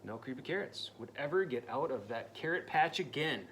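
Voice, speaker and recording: A man reading a picture book aloud to a child, dramatic and playful, dropping into spooky whispers and jumping to loud cries. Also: medium pitch, animated, brisk. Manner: playful asides to the listening child. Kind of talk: storybook read-aloud.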